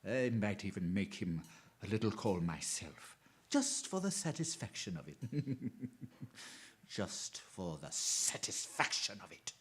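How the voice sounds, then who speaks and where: A villainous criminal mastermind in a radio drama. He speaks slowly, with great swoops of pitch, savoring each threat and gloating as he repeats it. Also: sing-song, husky, full character voice. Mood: brooding menace. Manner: slow relishing menace, chuckling, repeats phrases. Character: male crime mastermind, menacing, gloating, theatrical.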